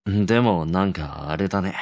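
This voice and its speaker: A man's voice: low voice, normal speed, a little mellow, dry recording, clean studio sound, natural voice. A man playing a blunt, prickly young delinquent with a curt manner in a romantic audio drama. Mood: cool.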